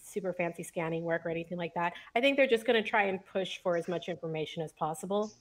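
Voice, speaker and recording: A woman's voice: on the low side, fast talker. A woman playing a tabletop roleplaying game, talking casually and thinking aloud as she goes. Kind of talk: casual in-character game talk. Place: indoors at home on a video call.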